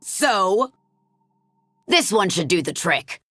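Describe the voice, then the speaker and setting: A woman's voice: medium pitch, sing-song, takes it slowly, fairly bright tone, no room sound, studio quality, faint music behind, exaggerated voice. A woman voicing a strict, no-nonsense combat coach in a video game, with a stern, clipped, commanding manner. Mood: scornful. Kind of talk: English video game voice acting.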